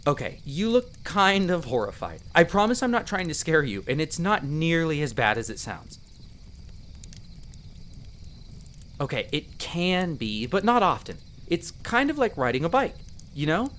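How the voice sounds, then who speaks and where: A man's voice: on the high side, very animated, normal speed, a bit bright, a little hiss or hum. A man voicing a friendly, eager young elf explorer in a roleplay audio drama, chatting playfully and explaining patiently to the listener. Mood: reassuring, playful. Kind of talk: in-character conversational roleplay addressed to the listener.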